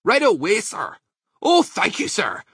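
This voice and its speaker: A man's voice: high-pitched, wildly swooping pitch, unhurried, fairly bright tone, husky, dry recording, studio quality, voice pushed up, full character voice. A man voicing a small, polite young badger in a children's storybook, eager and deferential. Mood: eager, grateful.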